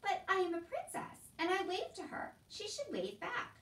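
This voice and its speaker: A woman's voice: extremely high pitch, wildly swooping pitch, normal speed, a bit bright, echoey room, recorded from a distance, voice pushed up, exaggerated voice. A woman voicing a prim, entitled little princess with childlike, proper diction, as in a storybook reading. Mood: indignant.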